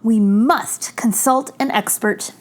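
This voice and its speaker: A woman's voice: fairly high pitch, slow, soft background music, pitched below the performer's own voice. Woman reading as precise, skeptical little girl scientist. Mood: skeptical, exacting.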